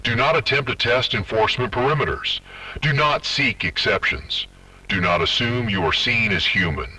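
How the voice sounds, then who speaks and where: A stern man reads an emergency directive in a bright, husky voice that swings widely in pitch. He sounds commanding and official. His voice is heard from some distance from the mic. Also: animated, normal speed. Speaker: emergency broadcast announcer. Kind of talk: scripted emergency directive reading.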